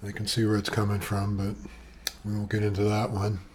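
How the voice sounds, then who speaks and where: A soft-voiced man in his seventies from Canada talks on and on in a low, fairly flat voice, full of asides and fillers. He is recorded at home on a webcam with some external sound gear. A faint hiss sits behind him. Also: a little fast. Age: 70s. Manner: chatty, eccentric, wandering with asides and fillers. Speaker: vlogger. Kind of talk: rambling personal monologue. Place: at home, sitting at his computer.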